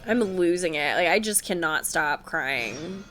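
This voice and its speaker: A woman's voice: fairly low pitch, animated, unhurried, a bit bright, a little hiss or hum. A woman game streamer giving casual, emotional, gushing live reaction commentary.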